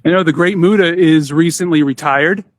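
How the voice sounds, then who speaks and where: A man's voice: on the high side, moderate pace. A man hosting a podcast in a casual, conversational style. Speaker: podcast host.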